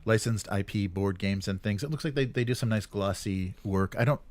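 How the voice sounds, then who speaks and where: A man's voice: lowish voice, brisk. A man co-hosting a gaming show, talking casually through the news and often searching for his words. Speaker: co-host of a weekly tabletop gaming show.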